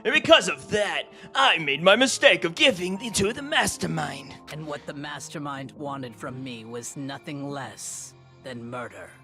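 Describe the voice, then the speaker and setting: A noble martial artist woman, grave and resolute. Her deep voice moves deliberately and sorrowfully, with game music clearly behind. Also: animated, bright, forceful tone, heavily acted. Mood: grave confession.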